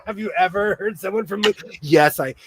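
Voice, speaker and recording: Middle-aged american guy on a sewing stream, laughs a lot, slightly higher voice. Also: a little fast, noisy background. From United States. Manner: chatty, laughs often, self-deprecating. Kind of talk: casual chat. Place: home, live stream.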